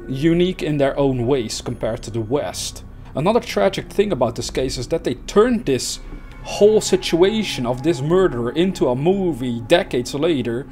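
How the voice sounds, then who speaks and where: A man's voice: mid-range voice, a little mellow. A man narrating true crime, reading written accounts aloud and adding informal, conversational comments. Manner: conversational, informal asides between read passages.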